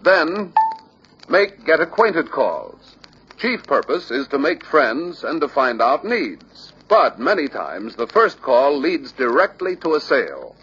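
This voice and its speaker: A man reading scripted instructional narration for a sales training film, deliberate and emphatic. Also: a little high, big pitch swings, fairly bright tone, breathy edge. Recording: dull lo-fi old training film soundtrack.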